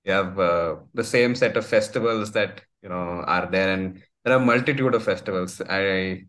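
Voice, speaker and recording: A man's voice: low-pitched, moderate pace, a bit bright. An Indian man with an Indian accent, hosting a casual conversation, hesitant and full of filler sounds. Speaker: podcast host.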